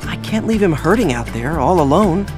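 Guy doing an eager earnest young penguin dad for a cartoon game, high bouncy voice, music behind. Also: lively intonation, brisk, lightly acted. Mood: earnest, worried. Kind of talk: animated video game voice acting.

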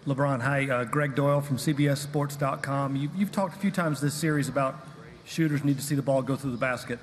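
A man's voice: mid-range voice, a little fast, in a large hall, slight background noise. A man asking a sports reporter's question from the floor of a press conference. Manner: asks a long question from the floor.

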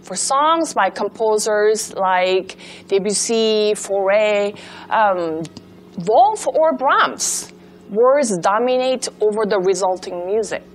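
A young woman giving a scripted lecture in a studio, reading from her notes in a measured, deliberate way. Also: medium pitch, lively intonation, slight background noise. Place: studio with projected backdrop.

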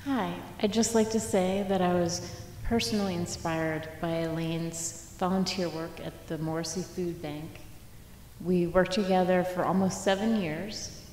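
A woman playing an earnest mourner in an audio drama, halting and plain-spoken. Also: on the low side, a little mellow, close to an everyday voice. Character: earnest female mourner giving a tribute. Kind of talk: audio drama acting, short tribute. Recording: echoey hall, faint noise.

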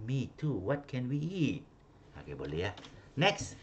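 A man's voice: medium pitch, wildly swooping pitch, measured pace, darkish tone, lo-fi sound. A man with a Malaysian accent teaching an online English class, repeating phrases and correcting stress, switching into Malay. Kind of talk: online class coaching pronunciation.

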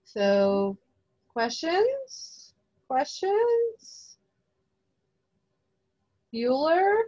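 A woman casually moderating an online session, unscripted, with halting phrasing. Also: very high voice, big pitch swings, darkish tone. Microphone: video call. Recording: dull, lo-fi online call audio.

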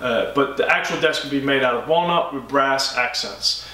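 Bright-voiced man with lots of ums. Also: mid-range voice. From United States. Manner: halting, many ums, relaxed and friendly. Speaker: furniture maker. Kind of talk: casual shop update to camera. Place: woodworking shop indoors. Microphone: camera mic a few feet away. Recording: echoey workshop, mic at a distance.

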